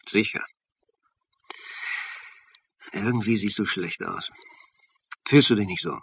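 A police instructor in a radio crime play. His voice is on the low side and rough-edged, and he delivers narration and dialogue in a dry, measured way. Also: a bit bright, full character voice. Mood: calm, attentive. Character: calm male police academy lecturer narrating a murder case.

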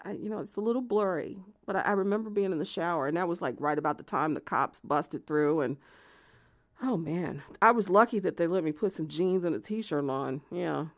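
A woman playing an unhinged jilted lover confessing from jail in a dramatic monologue, rambling and halting, defensive and prone to outbursts. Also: mid-range voice, a bit bright. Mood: rambling, evasive. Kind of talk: dramatic monologue, audio theatre narration.

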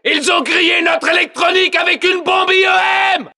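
A man's voice: very high voice, normal speed, gravelly, shouting, a crowd in the background, background noise. An actor playing a commanding, battle-hardened male soldier who announces a multiplayer game in terse, clipped, authoritative callouts. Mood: urgent, alarmed.

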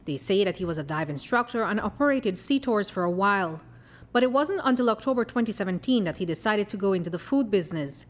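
A woman with a Belizean accent reporting a crime story in measured, scripted news narration. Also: medium pitch. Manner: measured, even newsreader delivery.

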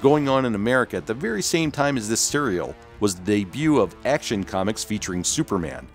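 Guy with a lowish voice doing scripted documentary narration, music behind him. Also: sing-song, a little fast. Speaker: video host, narrator.

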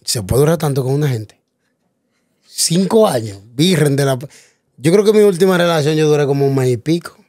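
A man's voice: a little high, expressive, fairly quick, darkish tone, no room sound. Playful man, dry close sound. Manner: joking, playful, uses slang exclamations. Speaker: interviewer. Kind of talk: casual teasing interview.

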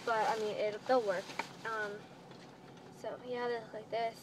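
A woman's voice: on the high side, level tone, unhurried. A teenage girl chatting casually to the camera as a vlogger, recorded inside a car. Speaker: vlogger showing a clothing haul. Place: inside a parked minivan. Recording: noisy phone-style recording inside a car.

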